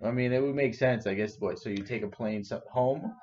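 A man's voice: medium pitch, fairly quick, a little mellow. Young man, podcast co-host, easygoing agreeable chat, dull lo-fi recording. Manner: easygoing, agreeable, often affirming. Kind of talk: casual chat.

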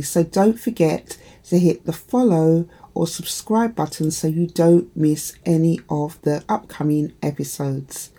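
A woman hosting her own podcast, slightly croaky from hay fever, talking in an encouraging, chatty way to her listeners. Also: lowish voice, warm tone. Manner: encouraging, chatty, many "you know" fillers. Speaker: podcast host and empowerment coach. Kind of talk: solo podcast talk to listeners.

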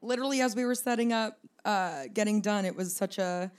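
A young woman with a slightly raspy low tone, co-hosting a podcast in a rambling, joking chat. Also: brisk. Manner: rambling, laughing, swears casually. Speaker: podcast co-host. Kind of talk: casual chat.

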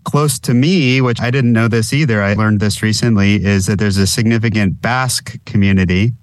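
Male podcast host, low-pitched, loose rambling delivery, dry studio sound with quiet music under it. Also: normal speed, no echo. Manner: rambling, filler-heavy. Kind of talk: casual interview chat.

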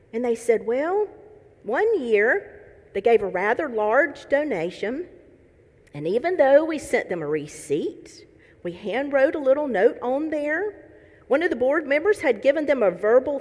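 A woman pastor giving a sermon, conversational and lightly humorous as she reads scripture and tells a story. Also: high-pitched. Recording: long hall reverb.

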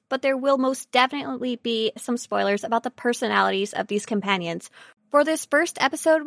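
A woman's voice: on the high side, creaky, a bit bright, dry recording, clean studio sound. A woman co-hosting a podcast, explaining things casually in a lighthearted, amused way. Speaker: podcast co-host.

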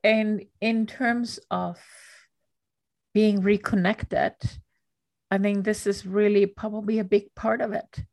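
An older woman from Austria hosts a podcast conversation in a voice of middling pitch. She speaks earnestly and with thoughtful enthusiasm. The sound is dry and close to the microphone. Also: level tone, slow, a little mellow, dry recording. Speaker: podcast host. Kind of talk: casual interview conversation.

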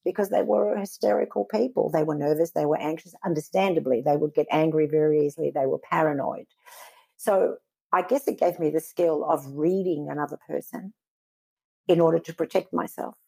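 A woman from Israel speaks as an interview guest, her voice low-set, husky and gravelly with fry. She answers earnestly and at a measured pace, often stopping to search for the right word. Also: a little mellow.

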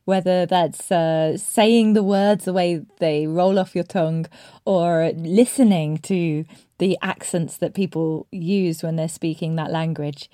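Woman doing a podcast interview, mellow voice, really dry recording. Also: fairly low pitch, fairly even intonation, unhurried, dry recording. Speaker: podcast host, English teacher. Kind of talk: podcast interview with scripted intro.

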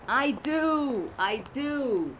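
Audio drama: older ex-band member, nostalgic, very varied pitch, unhurried, noisy background. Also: female voice, animated, fairly bright tone, exaggerated voice. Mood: wistful, eager. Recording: noisy ambience behind.

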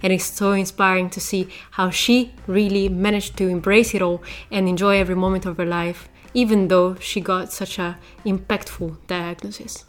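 A woman hosting a podcast interview, upbeat in greeting and halting with restarts when asking questions. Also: medium pitch, steady tone. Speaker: podcast host.

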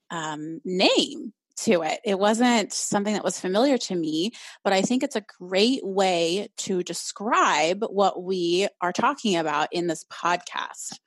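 A woman's voice: medium pitch, no room sound. A young woman hosting a casual solo podcast, chatty and upbeat, with a slightly nasal voice and frequent "um"s. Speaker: podcast host.

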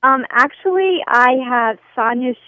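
A woman's voice: sits high, animated, unhurried, dry recording. A woman in her fifties with a young-sounding voice, calling in to a radio show and chatting casually with frequent ums. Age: 50s. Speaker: caller. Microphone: telephone. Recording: tinny phone line.

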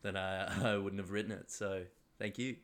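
A man's voice: deep voice, fairly even intonation, normal speed, fairly bright tone. A man from Australia chatting casually as a playwright guest on a podcast. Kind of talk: casual chat.

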